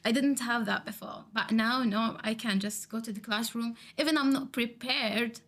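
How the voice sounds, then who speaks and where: A woman whose first language is Arabic, a student teacher answering podcast questions haltingly. She often restarts and searches for words. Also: a little high, steady tone, a bit bright.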